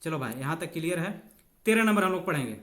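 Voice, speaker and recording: A man's voice: on the high side, sing-song, fast talker, a bit bright. A man giving an informal bilingual exam lesson, talking to students as friends while explaining questions step by step. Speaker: exam coaching teacher.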